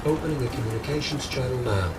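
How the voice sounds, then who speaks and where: Game role: polite machine butler, male actor, medium pitch, formal and measured, heard at a distance. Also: lively intonation, heavy background noise, lightly acted. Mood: calm, formal. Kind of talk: video game voice acting. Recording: distant, under loud game soundtrack.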